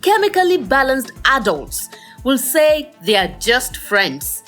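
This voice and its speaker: A woman's voice: high-pitched, very animated, slow pace, fairly bright tone, faint music behind, full character voice. An actress with a Nigerian accent playing a bold, teasing, meddlesome young woman in an audio drama, brash and scolding in an emphatic way. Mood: insistent.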